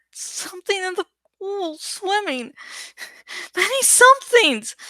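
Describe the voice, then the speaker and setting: Female audiobook narrator, expressive and dramatic, high register, unhurried deliberate reading. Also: no room sound. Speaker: book narrator.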